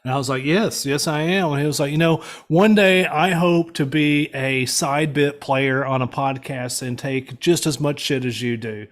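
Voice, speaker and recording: A man's voice: a little high, normal speed, few pauses. A man who is a regular on a podcast, telling a self-deprecating story in casual banter.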